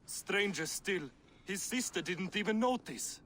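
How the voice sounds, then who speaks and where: An actor playing a shrewd, gruff, middle-aged dwarf craftsman, blunt and boastful, in a video game. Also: high-pitched, lively intonation, measured pace, bright, forceful tone, a little hiss or hum, full character voice. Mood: wry. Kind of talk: video game cinematic acting.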